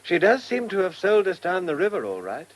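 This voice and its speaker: An actor with an English accent playing a mild, reasonable village newcomer in a British radio drama, polite and measured. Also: male voice, sits high, huge pitch swings. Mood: musing.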